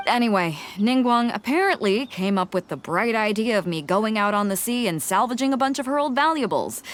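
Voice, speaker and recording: A woman's voice: medium pitch, fairly bright tone, natural voice. An actress playing a brash, hearty female ship captain in a video game, with a blunt, breezy manner. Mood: grumbling, matter-of-fact.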